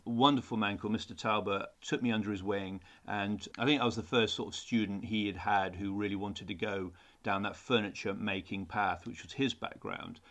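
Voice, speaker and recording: A man's voice: fairly low pitch, fairly even intonation, brisk, hardly any pauses, fairly bright tone. A middle-aged man, a teacher answering interview questions in an earnest way, often repeating words when he restarts. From England. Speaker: design teacher, podcast guest.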